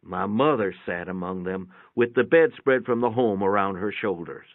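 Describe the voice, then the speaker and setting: Through a narrow, phone-like recording with very little room sound comes a man reading fiction aloud. His voice sits on the low side, and he narrates in the first person with a folksy, matter-of-fact delivery. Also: moderate pace, dry recording.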